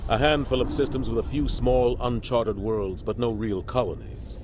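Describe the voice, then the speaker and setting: An actor playing a grave, seasoned middle-aged military captain in a video game, deliberate and advising. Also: male voice, mid-range voice, steady tone, darkish tone, slight background noise, lightly acted. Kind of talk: video game cutscene acting. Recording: game music behind the voice.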